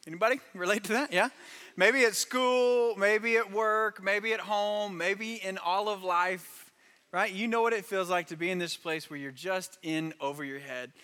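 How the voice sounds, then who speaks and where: Man, pastor, earnest emphatic sermon, bright forceful tone, long echo of a big hall. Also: sits high, moderate pace, clear, resonant tone. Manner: earnest, emphatic preaching with repeated phrases.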